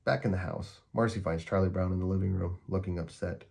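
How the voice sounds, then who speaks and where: A man's voice: low voice, steady tone, brisk, gravelly, darkish tone, a little hiss or hum. A man reading a children's picture book aloud as a story time narrator.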